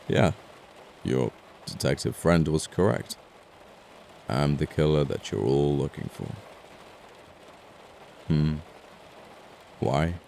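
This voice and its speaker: Man acting out a very deep, gravelly, flirtatious killer. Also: mellow, slight background noise, exaggerated voice. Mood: cool menace, then bitter, defiant. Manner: smooth-talking, sardonic, deliberate threats with pauses. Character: amoral, flirty, apologetic male murderer, coolly menacing. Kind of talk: ASMR audio roleplay acting.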